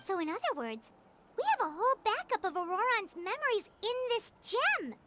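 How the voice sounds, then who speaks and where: A woman voicing a tiny childlike fairy companion in a video game, squeaky and excitable. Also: normal speed, noisy background. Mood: amazed, excited. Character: tiny cheerful fairy companion, childlike, eager, speaks in third person.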